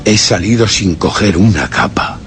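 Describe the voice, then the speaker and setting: An actor playing a tormented, guilt-ridden gentleman narrating his descent into madness in a horror radio drama, with a brooding literary delivery and a Castilian accent. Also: low voice, expressive, moderate pace. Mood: despairing, unhinged. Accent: Castilian Spanish. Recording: music bed behind.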